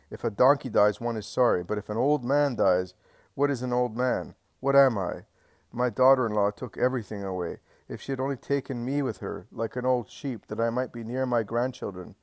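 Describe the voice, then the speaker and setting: A man's voice: medium pitch, gravelly, dry recording, clean studio sound, close to an everyday voice. A man voicing a poor, blind old village man who speaks in a trembling, pleading way.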